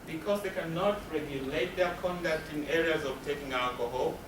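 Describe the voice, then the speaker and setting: A man's voice: fairly high pitch, moderate pace. A man giving a spoken presentation as a counselor, explaining in short, emphatic phrases and posing questions that he answers himself. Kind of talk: spoken presentation to a live audience. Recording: roomy, distant mic with noticeable background noise.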